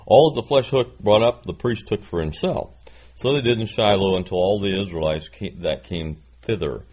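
Man, 60s, preacher; low, husky, folksy and self-deprecating. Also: darkish tone. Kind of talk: sermon with scripture reading.

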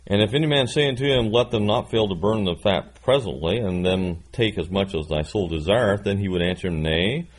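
A man's voice: fairly low pitch, brisk, few pauses, poor audio. A man in his sixties preaching a sermon, reading scripture and adding folksy, self-deprecating asides. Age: 60s.

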